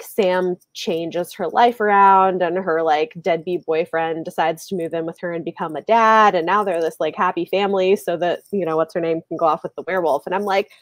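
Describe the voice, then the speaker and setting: Woman, thirties, slightly low voice, dry close sound, chatty book talk, hedging phrasing. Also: moderate pace, dry recording. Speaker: book channel host. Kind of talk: casual book discussion on a live video call. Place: small indoor room with bookshelves. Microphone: earbuds on a video call.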